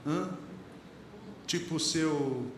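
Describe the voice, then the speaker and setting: A man's voice: fairly high pitch, very slow, very noisy. A man with a Brazilian Portuguese accent, preaching a sermon and putting questions to his congregation with emphasis. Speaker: pastor. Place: church congregation. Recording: echoey church room, faint ambience.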